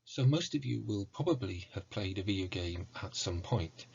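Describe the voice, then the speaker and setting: A middle-aged man giving a prepared online lecture in a measured, formal, explanatory way. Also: on the low side, expressive, vocal fry, darkish tone, breathy edge. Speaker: university lecturer in music. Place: small indoor room with bookshelves. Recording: muffled, dull online recording.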